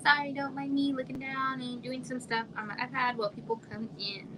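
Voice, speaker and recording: A soft-spoken woman from Arizona, with a somewhat high voice, chats casually with viewers from home. She trails off and now and then sinks to a near whisper. Steady hiss and room noise sit under the livestream audio. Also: a little fast. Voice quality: quiet voice. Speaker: livestream host. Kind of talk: casual live chat with viewers.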